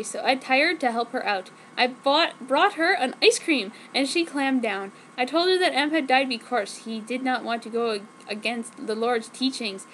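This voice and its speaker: High-voiced woman reading theatrically over noise. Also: fairly bright tone, rough recording. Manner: theatrical, reads misspellings literally. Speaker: reader of fan fiction. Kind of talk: dramatic comic reading of fan fiction.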